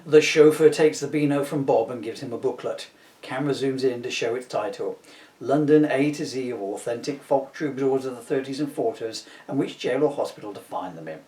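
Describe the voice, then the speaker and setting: A man's voice: mid-range voice, brisk, darkish tone. A man giving a comic scripted reading with wry, self-mocking asides. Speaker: author reading his own script. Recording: roomy echo, distant mic.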